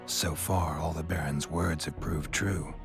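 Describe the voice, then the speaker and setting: An actor playing a terse, skeptical monster hunter for hire in a video game, speaking in a gravelly, dry, laconic way. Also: male voice, steady tone, measured pace, faint music behind. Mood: dry skepticism. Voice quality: gravelly, very deep. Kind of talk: video game cutscene dialogue.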